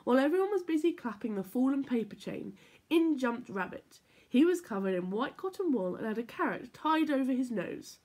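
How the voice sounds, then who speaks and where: A woman reading a children's story aloud in an expressive, playful, emphatic way. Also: sits high, moderate pace. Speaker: story time reader for children.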